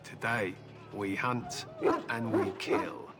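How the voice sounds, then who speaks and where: An actor playing a grim, proud warrior who fights alongside hounds in a video game, speaking in solemn declarations. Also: male voice, medium pitch, fairly even intonation, slow pace, heavy background noise, close to an everyday voice. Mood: grim resolve. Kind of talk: scripted video game character dialogue. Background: loud game music.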